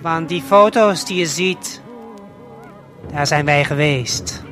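A man with a slightly high voice tells a scripted story straight to the listener. He speaks very slowly in short, measured, hypnotic phrases with deliberate pauses, while music plays clearly behind him. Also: a bit bright. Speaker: narrator and guide of an audio play. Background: prominent music.

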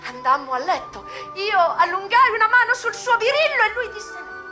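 A worldly American madam looking back on her youth in a radio play. Her voice is high and theatrical, wistful and passionate, with dramatic pauses and emotional swells. Music plays clearly behind her. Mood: intimate, storytelling. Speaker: actress performing a solo radio play.

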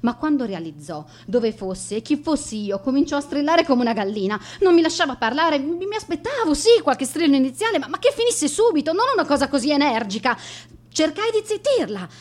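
An actress playing a guilt-ridden, bullied teenage schoolgirl in a radio drama monologue, confessing in a halting, emotional manner. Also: high-pitched, big pitch swings. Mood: frantic, rising rage.

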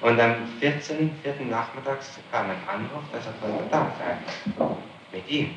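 A man's voice: mid-range voice, animated, moderate pace. A man speaking as a public prosecutor in a formal interrogation, methodically and insistently laying out witness testimony. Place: reverberant room, archive tape recording. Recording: noisy, echoey 1960s interrogation tape.

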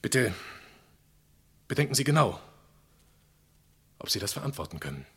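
A man playing an impassioned defence lawyer in a crime radio play, pleading theatrically with rhetorical pathos in a husky voice. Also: mid-range voice, very animated, a bit bright, heavily acted. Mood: grave, urgent. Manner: rhetorical, pathos-laden, dramatic pauses. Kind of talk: German crime radio play, courtroom oratory.

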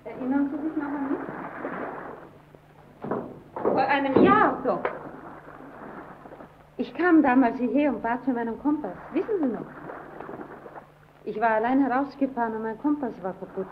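A woman's voice: sits high, expressive, moderate pace, pauses often. An actress playing a curious, persistent young woman visitor in an old radio play, chatty and politely probing with her questions. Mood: chatty, eager. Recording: dull, noisy old radio recording.

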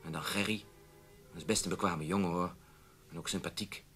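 Older editor-in-chief, deep sharp-edged voice, resigned and full of self-doubt, radio play. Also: male voice, steady tone, brisk, soft background music. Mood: resigned, regretful. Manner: resigned, lamenting. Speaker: actor. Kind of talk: radio drama dialogue.

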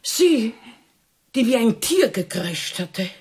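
Husky, pious serving woman. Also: on the high side, big pitch swings, slow pace, darkish tone, exaggerated voice. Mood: breathless reporting. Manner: emotional, exclamatory. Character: simple, pious, devoted serving woman, easily awed. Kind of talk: radio drama acting.

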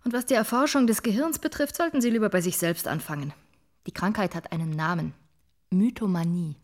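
A woman's voice: mid-range voice, lively intonation, a little fast, close to an everyday voice. An actress playing a cool, irritated, sarcastic woman in a radio drama. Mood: dismissive, biting. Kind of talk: German radio drama acting.

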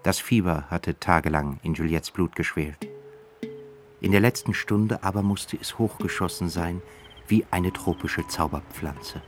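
A man narrating a radio play in a measured, solemn storytelling manner. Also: deep voice, soft background music.

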